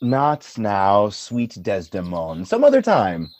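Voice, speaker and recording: A man playing a proud military commander and loving husband turning suspicious, in theatrical Shakespearean radio play style, swinging from tender to forceful. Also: on the low side, huge pitch swings, slow pace, slight background noise, exaggerated voice. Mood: tender, indulgent.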